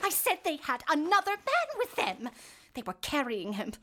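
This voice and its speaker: A shrill, fussy landlady. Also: huge pitch swings, normal speed, fairly bright tone, full character voice. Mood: fretful. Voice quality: shrill, fretful. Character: fussy, excitable middle-aged landlady. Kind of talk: 1930s radio drama acting.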